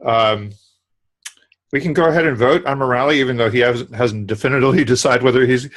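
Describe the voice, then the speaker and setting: A man's voice: mid-range voice, quick pace, fairly bright tone. A man running an online club meeting over a video call, often repeating words as he goes. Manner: stammering repeats. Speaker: meeting officer.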